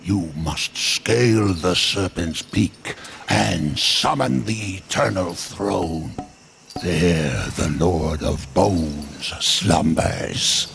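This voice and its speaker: An actor playing a cryptic, knowing merchant in a video game, deep and gravelly, with a measured, enigmatic delivery. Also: male voice, a bit bright, a little hiss or hum, exaggerated voice. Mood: cryptic, instructive. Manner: measured, enigmatic, musing hums. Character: cryptic, knowing old merchant of a lost people. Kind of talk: video game cutscene acting.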